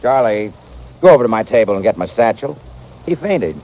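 An actor playing a wry, level-headed American town doctor in a 1950s radio western. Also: male voice, on the low side, expressive, a little fast. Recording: muffled, noisy old radio recording.

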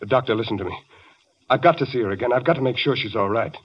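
Guy acting frantic young husband, old radio play, begging and breathless, husky, muffled lo-fi sound. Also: mid-range voice, quick pace, natural voice. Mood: desperate pleading. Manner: pleading, breathless, repeats himself. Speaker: radio drama actor. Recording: dull lo-fi old radio recording.

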